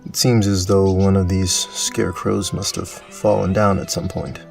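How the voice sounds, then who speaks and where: A man playing an observant, methodical inspector in an audio drama, with a measured questioning manner. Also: low-pitched, level tone, creaky, a little mellow, far from the mic. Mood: probing. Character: observant, methodical male inspector investigating a farm. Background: music.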